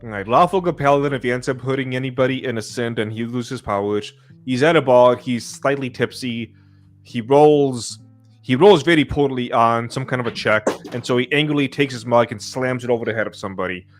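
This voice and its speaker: A man's voice: medium pitch, fairly quick, faint music behind. A man in a casual podcast discussion, laying out hypothetical scenarios and putting questions to the others. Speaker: podcast panelist posing questions.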